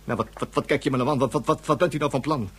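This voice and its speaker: An actor playing a dim, skeptical police inspector in a science fiction radio play, slightly husky, repeating himself and easily flustered. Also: male voice, mid-range voice, rapid-fire, close to an everyday voice. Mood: nervous, stammering. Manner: hesitant, stammering, repeating himself.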